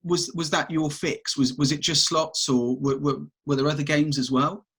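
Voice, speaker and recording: A man hosting a podcast, asking halting questions and affirming with repeated "sure, sure". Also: fairly high pitch, steady tone, fairly bright tone, slightly rough. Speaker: podcast host. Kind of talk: interviewing.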